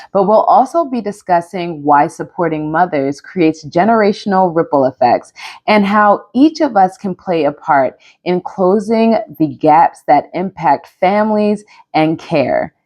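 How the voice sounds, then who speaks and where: A woman's voice: lowish voice, darkish tone, lo-fi sound. Upbeat woman host opening her podcast. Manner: upbeat and personal, laughs at herself. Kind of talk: podcast intro monologue.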